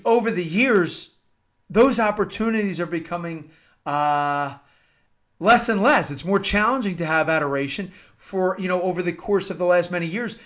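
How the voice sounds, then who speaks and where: High-voiced American man preaching earnestly. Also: a bit bright. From United States. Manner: earnest, emphatic, repeats phrases for emphasis. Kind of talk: informal devotional talk with scripture-like readings.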